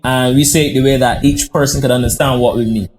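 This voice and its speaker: A man from Barbados, a guest chef telling stories in casual interview chat, animated and given to quick bursts of speech. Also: medium pitch.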